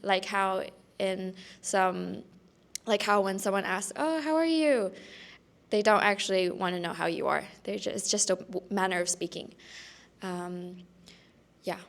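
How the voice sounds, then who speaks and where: Slow-speaking young woman, thoughtful. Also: mid-range voice, vocal fry. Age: young adult. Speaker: international student panelist. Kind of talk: casual discussion.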